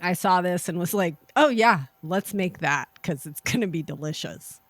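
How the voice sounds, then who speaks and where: A woman co-hosting a podcast, chatting and reading out a cocktail recipe in a measured, unhurried way. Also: on the low side, lively intonation, no room sound. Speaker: podcast co-host.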